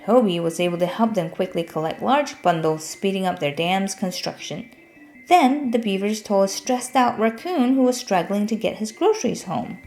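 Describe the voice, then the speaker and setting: A woman's voice: mid-range voice, expressive, normal speed, darkish tone, soft background music. A woman narrating a children's storybook as a scripted read-aloud.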